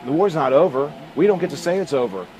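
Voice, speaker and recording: A man's voice: fairly high pitch, animated, fast talker, darkish tone, a little hiss or hum, low-quality recording. A man giving earnest interview answers in emphatic, short, repeated phrases. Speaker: interviewee, bereaved father.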